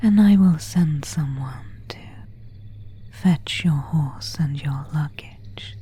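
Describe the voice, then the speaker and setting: Lady acting out a courteous but menacing vampire woman, asmr roleplay, super slow dark breathy whisper. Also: low voice, heavily acted. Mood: quietly commanding. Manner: slow, formal, old-fashioned phrasing. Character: seductive, predatory female vampire, courteous and menacing.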